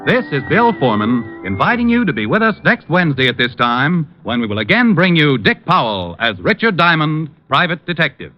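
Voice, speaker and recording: Animated American radio announcer over music. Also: male voice, fairly high pitch, brisk, hardly any pauses. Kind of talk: formal old radio announcing. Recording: dull vintage radio recording, music behind.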